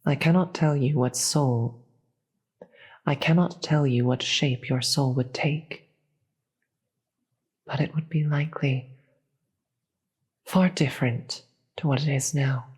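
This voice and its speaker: Demon queen played by a voice actor for fantasy audio drama: regal, persuasive, very slow and measured, husky with whispered edges. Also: medium pitch, fairly even intonation, darkish tone. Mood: measured, grave. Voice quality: breathy, often dropping into whisper. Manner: slow, formal, measured, grave. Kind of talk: scripted fantasy audio roleplay monologue.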